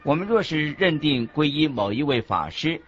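Earnest, animated Buddhist master. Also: male voice, a little high. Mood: earnest, instructive. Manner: didactic, measured. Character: earnest male Buddhist master teaching the faith. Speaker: Buddhist teacher in a radio lecture drama. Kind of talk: scripted radio drama dialogue, expository. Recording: dull lo-fi audio with background music. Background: music.

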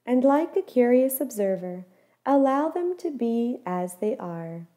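A woman with a fairly high, very mellow, relaxed voice guides a meditation. She gives each instruction gently and in measured phrases, softening at the ends. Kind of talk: guided body scan meditation.

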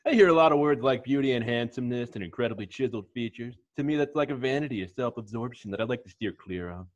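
A man plays a self-assured, airheaded male model in a comedy table read. He rattles along quickly at a middling pitch, laid-back in manner, through slightly muffled video call audio. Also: lightly acted. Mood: earnest, breezy.

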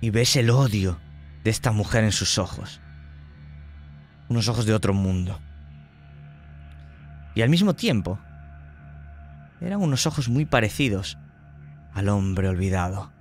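A man's voice: lowish voice, expressive, measured pace, pauses often, faint music behind. A man narrating a tabletop horror game as game master, describing scenes vividly and dramatically.

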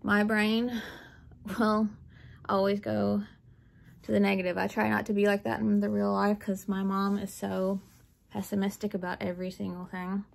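A woman's voice: medium pitch, flat delivery, normal speed, creaky. A woman vlogging from her living room floor, talking candidly and bluntly in a rambling way. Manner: blunt, rambling, laughs nervously. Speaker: book vlogger. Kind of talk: candid personal talk.

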